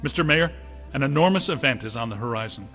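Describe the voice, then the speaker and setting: A middle-aged scientist ghost hunter in a video game. He is matter-of-fact and precise, with a crisp, forceful tone, lively pitch movement and faint music behind. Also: fast talker, big-room sound. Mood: urgent, clinical. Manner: precise, clinical.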